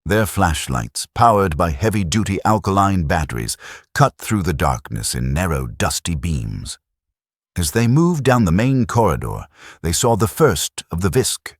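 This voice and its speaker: A man's voice: low-pitched, very animated, a little slow, no room sound, clean studio sound. A man narrating a science fiction audiobook with dramatic storytelling delivery. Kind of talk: scripted sci-fi narration.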